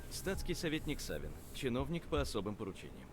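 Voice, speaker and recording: An actor playing a sardonic, authoritative state investigator of old Russia in a visual novel game, speaking formally. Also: male voice, mid-range voice, huge pitch swings, fast talker, soft background music. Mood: measured, formal.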